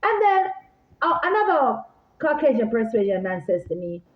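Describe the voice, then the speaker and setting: High-voiced Jamaican woman vlogging. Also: moderate pace. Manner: animated, repeats herself, talks straight to viewers. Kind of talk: casual rambling monologue to viewers. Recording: echoey room, phone-like sound.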